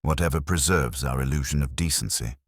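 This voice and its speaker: A man voicing a prim, shrewd older woman, genteel and dryly amused, with crisp, precise speech in a storybook reading. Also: extremely low pitch, fairly quick, no echo, clean studio sound. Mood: pleasant, dry.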